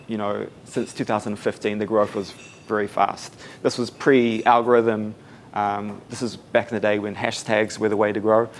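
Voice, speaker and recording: Soft-spoken New Zealand man in his twenties. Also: lowish voice, slight background noise. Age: 20s. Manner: soft-spoken, relaxed, many fillers. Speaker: speaker at a meetup. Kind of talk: casual talk to a live audience. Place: coworking space event room.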